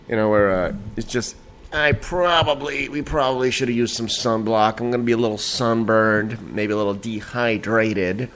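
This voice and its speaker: A man's voice: on the low side, normal speed, a bit bright, a little hiss or hum. A man hosting a casual late-night podcast from home, a bit tipsy and a little nasal, rambling and laughing with lots of fillers and swearing. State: slightly tipsy. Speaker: podcast host. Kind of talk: late-night casual solo talk show.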